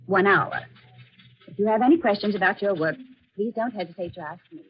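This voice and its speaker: Radio drama role: woman playing efficient female supervisor, courteous but businesslike, medium pitch, lo-fi vintage recording. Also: brisk, husky, natural voice. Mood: formal, businesslike. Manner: businesslike, precise. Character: brisk, formal, efficient female office supervisor. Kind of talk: old-time American radio drama acting. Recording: dull, lo-fi old radio recording.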